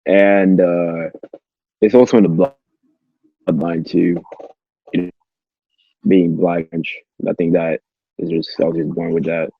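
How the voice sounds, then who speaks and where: Teen guy from cameroon, french native, husky voice, halting casual talk, kinda muffled dry audio. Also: sits low, fairly even intonation, dry recording. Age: teens. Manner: halting, repeats himself. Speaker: podcast guest. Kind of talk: casual chat, anecdotes.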